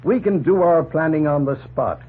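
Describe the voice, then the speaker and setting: An actor playing a plain-spoken, opinionated middle-aged farmer in a folksy, emphatic 1940s radio drama style. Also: male voice, a little high, normal speed, husky. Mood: worked up. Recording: noisy, tinny 1940s radio recording.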